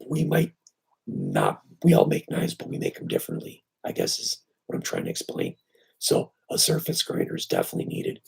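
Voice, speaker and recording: A man with a strained, hoarse voice, still recovering from surgery, talks casually on a live stream. His tone is deeply gravelly and husky, and it often fades to near-whisper. His pitch swings about freely as he rambles along with filler words. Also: low-quality recording. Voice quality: very raspy, breaking into breathy near-whisper. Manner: rambling, easygoing, filler-heavy. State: hoarse, voice weak after surgery. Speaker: knifemaker hosting a live stream.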